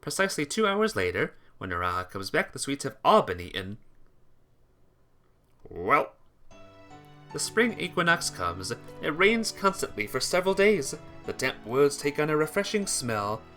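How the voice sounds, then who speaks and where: A man narrates a written tale in a bright, clear-cut voice. He reads in storybook fashion, now and then tripping on a word. Faint instrumental music plays behind him. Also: a little high, very animated, moderate pace. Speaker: narrator reading a visual novel aloud. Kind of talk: scripted story narration with acted dialogue.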